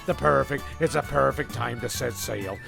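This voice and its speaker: A male narrator with a heavily creaky, gravelly voice of middling pitch. He rambles comically and haltingly, repeating phrases, while music plays clearly behind him. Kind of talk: rambling comic narration in amateur audio drama.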